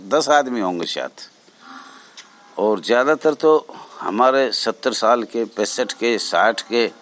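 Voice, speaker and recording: An older man giving an informal address as an association leader, exhorting his fellow members in halting, repetitive phrases. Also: medium pitch. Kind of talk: informal spoken address to fellow home guards.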